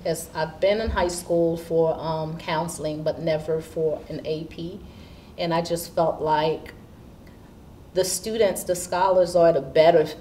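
A woman's voice: fairly low pitch, dark tone. A woman in her fifties from Haiti, an assistant principal answering questions in a seated interview. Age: 50s. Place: indoor school studio with curtain backdrop. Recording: distant mic with background room noise.

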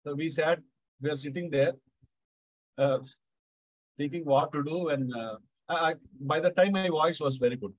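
A middle-aged man with a South Indian accent tells a devotional anecdote casually, halting and repeating phrases. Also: a little high, dry recording, low-quality recording. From Andhra Pradesh, India. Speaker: Carnatic musician, guest speaker.

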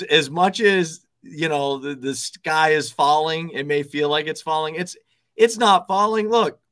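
A confident man in his thirties breaks down the game as a guest over a video call from an office. His voice sits a little higher than usual, and he talks emphatically, repeating words to drive a point home. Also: fairly bright tone. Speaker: TV sports director, guest. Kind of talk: casual sports analysis chat. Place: office with desks, indoors.

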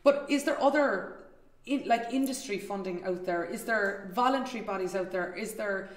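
A woman's voice: mid-range voice, moderate pace. Woman host in her 30s, rambling questions, roomy home video-call sound. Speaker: interview show host. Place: home room, indoors.

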